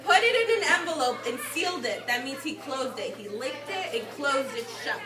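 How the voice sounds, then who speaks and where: Woman, preschool teacher, very lively intonation, simple instructive phrasing, picture-book read-aloud, distant mic, children in the room. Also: sits high, moderate pace, crowd noise. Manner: instructive, simple phrasing with repeated prompts to the class. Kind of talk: reading a picture book aloud to young children and explaining words. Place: indoor classroom with carpet. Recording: echoey classroom from afar, children around. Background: young children.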